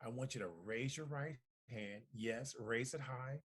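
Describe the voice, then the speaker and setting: A middle-aged man gives congratulatory remarks to camera in a slightly husky voice of ordinary pitch. He speaks deliberately, leaning on key phrases and repeating them for emphasis. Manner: deliberate, emphatic, with rhetorical repetition. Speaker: community organization director giving recorded remarks. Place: at home.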